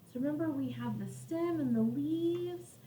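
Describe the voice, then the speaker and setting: High-voiced woman teaching young children. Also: measured pace, dark tone. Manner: child-directed, simple wording with questions to listeners. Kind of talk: read-aloud lesson for young children. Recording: distant microphone with faint background noise.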